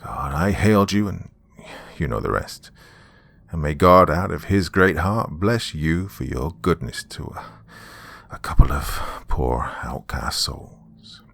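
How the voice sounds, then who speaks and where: A man playing a starving castaway in a gothic horror story, mournful and grateful, his phrases fading into a ghostly hush. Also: extremely low pitch, lively intonation, slow, creaky, no room sound, exaggerated voice. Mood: mournful, weary.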